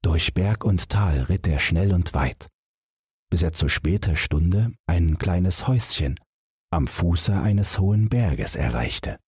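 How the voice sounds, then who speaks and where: Low, very husky male storyteller. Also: no echo, clean studio sound. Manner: measured, expressive storytelling. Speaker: audiobook narrator. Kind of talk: scripted bedtime fairy-tale narration.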